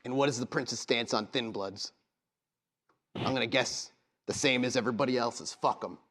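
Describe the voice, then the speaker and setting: A man's voice: mid-range voice, normal speed, a bit bright, natural voice. A man voicing a defeated vampire captive, bitter and pleading, in a tabletop game. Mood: resigned, bitter.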